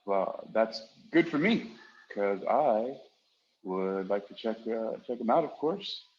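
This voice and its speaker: A man with a lowish voice makes easygoing small talk, often doubling back on his own words. The sound is a little muffled and lo-fi. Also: animated, takes it slowly. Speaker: podcast moderator. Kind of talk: casual chat.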